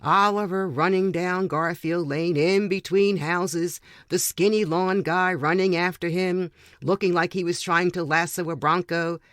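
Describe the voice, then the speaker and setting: A man with a slightly high voice reads a light, humorous story aloud in a narrator's style. The recording is dry and close, with little sound of the room. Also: steady tone, measured pace, hardly any pauses, fairly bright tone, dry recording. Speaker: reader of short stories for a podcast.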